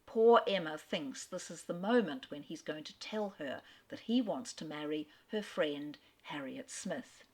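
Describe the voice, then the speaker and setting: Measured Australian woman lecturing. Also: sits low. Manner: measured, expressive storytelling. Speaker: literary speaker. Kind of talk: lecture ending in a dramatic reading.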